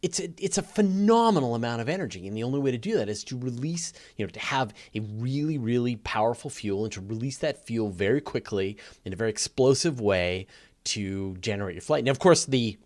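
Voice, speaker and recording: A man's voice: medium pitch, expressive, brisk, darkish tone. A man hosting a live question-and-answer session, talking casually and explaining with emphatic stress on key words. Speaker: host of a live space Q&A.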